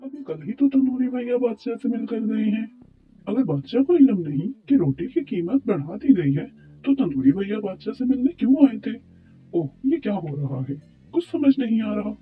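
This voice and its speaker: A man plays a courtly royal minister in a cartoon, with a high-pitched voice. His manner is formal and deferential, that of a servant to a king. The sound is tinny and phone-like, over music. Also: big pitch swings, normal speed. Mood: puzzled. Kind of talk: Urdu moral cartoon story dialogue.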